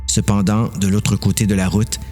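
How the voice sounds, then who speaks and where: A man's voice: lowish voice, little pitch movement, normal speed, warm tone, faint music behind. A man with a Quebec French accent narrating a dramatised horror story.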